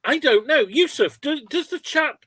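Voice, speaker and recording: A man hosting an online webinar in a casual, chatty way, with halting, rambling explanations. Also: very high-pitched, expressive, noisy background. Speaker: webinar host. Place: indoors on an online video call.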